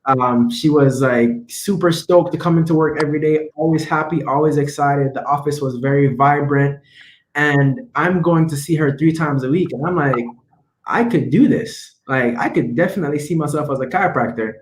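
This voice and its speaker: A man's voice: a little high, normal speed, slight background noise. A young man from Jamaica with a Jamaican accent, a chiropractic student answering interview questions earnestly over a video call from home, with many fillers. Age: young adult. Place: home room, indoors. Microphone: laptop webcam video call. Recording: echoey room on video call.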